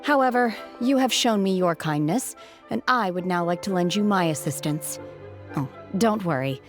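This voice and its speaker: A reserved young hermit woman played by a voice actress speaks in a low, measured voice. She is formal and solemn, blunt without any heat, as in a fantasy game cutscene. Faint music sits behind her. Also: animated, natural voice. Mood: reserved, polite. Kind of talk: video game cutscene voice acting.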